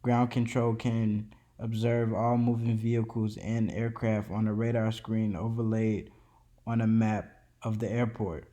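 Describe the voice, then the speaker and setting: A man narrates an educational presentation in a level, mellow voice that barely rises or falls. He reads steadily from a script and now and then trips over a word and starts it again. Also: on the low side, flat delivery, a little slow. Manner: reads steadily from a script, with small slips and restarts. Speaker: student presenter. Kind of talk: read-aloud educational narration.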